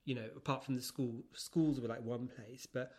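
A man's voice: medium pitch, fairly even intonation, moderate pace, a little mellow. A middle-aged man with a London accent, chatting casually on a podcast in a campy, sassy, anecdotal way. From central London, England. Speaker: podcast co-host and interviewee.

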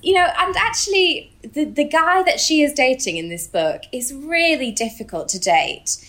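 A woman's voice: high-pitched, expressive, normal speed, a bit bright. A woman in her thirties talking candidly and emphatically about books as a podcast co-host, in a casual, chatty way. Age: 30s. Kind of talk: casual book discussion.

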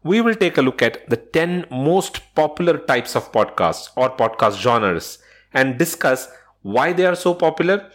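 A man's voice: on the high side, sing-song, unhurried, a bit bright. A man presenting a scripted solo podcast as its host, speaking in an instructional way directly to listeners.

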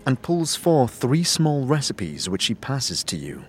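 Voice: mid-range voice, sing-song, measured pace, husky, faint music behind. A narrator reading scripted second-person fantasy narration for an audio drama.

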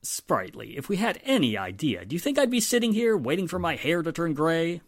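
A weary, sardonic police inspector, played by an actor in an old-time radio drama style. He is emphatic and dryly exasperated, with a very animated, swooping delivery. The sound is dry, without room echo. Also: medium pitch, brisk. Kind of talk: 1940s radio drama acting.

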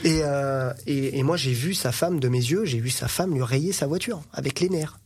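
Husky-voiced man telling lively stories. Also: medium pitch, moderate pace. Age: 30s. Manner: animated anecdotes, laughs often, many fillers. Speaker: podcast guest. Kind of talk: casual chat, storytelling.

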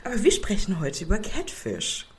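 A woman's voice: medium pitch, huge pitch swings, background noise. A young woman with a cold, hosting a podcast in a casual, musing way. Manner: thoughtful, musing, slow stretches. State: has a cold. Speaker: podcast host.

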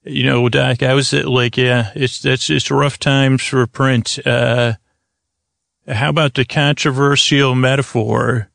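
A man hosting a bedtime comedy podcast, improvising a meandering, halting, absurdist monologue in a creaky voice. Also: medium pitch, level tone, a bit bright, no echo, studio quality. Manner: meandering, halting, absurdist one-sided conversation with an imagined doctor. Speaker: podcast host.

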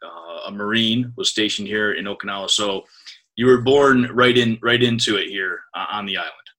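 A man's voice: fairly low pitch, moderate pace, bright, forceful tone, poor audio. A man interviewing a guest, asking friendly, rambling questions full of fillers and restarts. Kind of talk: conversational interview.

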